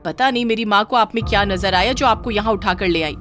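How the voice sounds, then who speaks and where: A woman voicing a spiteful, haughty young sister-in-law in a moral story, sneering, sharp-tongued and condescending. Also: mid-range voice, a little fast, faint music behind, lightly acted. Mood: scornful, angry. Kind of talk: acted dialogue in a moral story.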